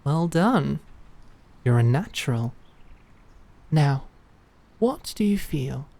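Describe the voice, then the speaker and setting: A woman acting in an audio drama as a calm, commanding knight who mentors with measured, deliberate instruction and encouragement. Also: sits low, creaky, heavily acted. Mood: calm, guiding. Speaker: voice actor.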